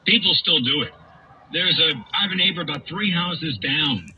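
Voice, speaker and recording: A man's voice: a little high, lively intonation, normal speed, highs cut off. A man hosting talk radio, giving an opinionated, emphatic monologue and repeating phrases for effect. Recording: radio broadcast with faint background noise.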